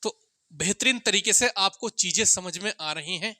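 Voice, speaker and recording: A man's voice: high voice, moderate pace, bright, forceful tone, no echo, studio quality. A man teaching maths informally to students, asking questions and answering them himself, repeating key points. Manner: addresses listeners often as sir and friends. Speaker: maths teacher for exam coaching. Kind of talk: informal classroom-style lesson, talking to students.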